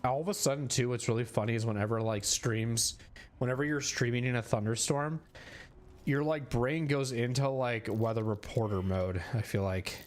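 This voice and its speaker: A man's voice: mid-range voice, moderate pace, a little hiss or hum. Rambling young male streamer. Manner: rambling, lots of filler words. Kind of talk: casual gameplay commentary. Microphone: desk streaming mic.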